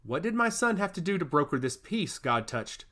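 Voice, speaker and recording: A man's voice: a little high, sing-song, normal speed, sharp tone, voice pushed up. A man voicing a worried, determined queen and mother in a fantasy story, speaking in an earnest, pressing way. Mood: insistent.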